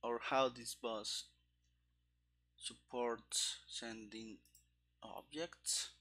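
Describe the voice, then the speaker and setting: Slow-talking man thinking aloud while coding. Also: mid-range voice, fairly even intonation, bright, forceful tone. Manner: halting, muttering to himself. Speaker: programmer streaming game development. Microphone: headset microphone. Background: keyboard typing and clicking.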